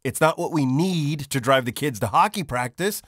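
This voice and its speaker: A man's voice: on the high side, animated, normal speed, a bit bright, no room sound, studio quality. A man voicing a reckless, status-hungry husband in a video game, coaxing and pleading with boyish eagerness. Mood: eager, coaxing. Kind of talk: video game character acting.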